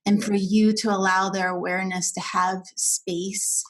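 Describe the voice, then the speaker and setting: Woman coach giving gentle advice. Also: mid-range voice, flat delivery, measured pace, rough recording. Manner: gentle and affirming. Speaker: relationship coach. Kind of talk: coaching advice on a call-in show.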